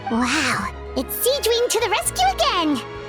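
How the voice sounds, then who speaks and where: A woman voicing a tiny, excitable, cheeky childlike fairy companion in a game, squeaky and chatty. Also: measured pace, music in the background, full character voice. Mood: curious, impressed. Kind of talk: anime-style game voice acting.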